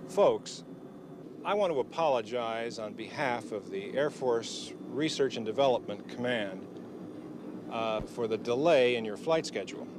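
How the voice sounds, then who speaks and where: A man's voice: mid-range voice, expressive, unhurried, natural voice. Man acting as polite official, noisy cabin announcement. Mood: courteous, official. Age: middle-aged. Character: polite, bureaucratic male Air Force official. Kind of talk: formal prepared announcement in a film. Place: inside an airliner cabin. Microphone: handheld announcement microphone.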